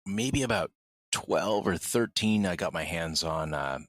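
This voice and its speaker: A man with a very low voice talks casually as a guest in an interview. He speaks conversationally about himself. The sound is dry and close. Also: a little slow, fairly bright tone, no echo. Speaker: podcast guest, audio producer and musician.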